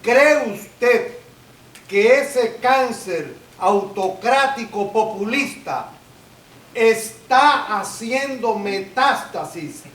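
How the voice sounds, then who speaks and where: A man in the audience asks a formal question in a slow, high voice. He is some distance from the mic in an echoey room, with faint ambient noise around him. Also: lively intonation, a bit bright. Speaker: audience member asking a question. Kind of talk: asking a question in a public Q&A.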